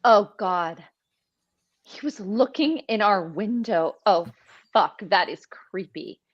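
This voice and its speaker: A woman's voice: mid-range voice, lively intonation, slow. A woman reading a spooked, foul-mouthed young female camp counselor in a live horror script read, on a slightly dull microphone. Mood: freaked out.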